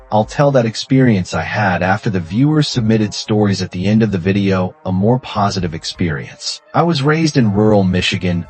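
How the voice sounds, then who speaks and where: A man with a fairly low voice narrates a scary story in the first person, as a scripted read. Music plays under his voice. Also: darkish tone, husky. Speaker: horror story channel narrator.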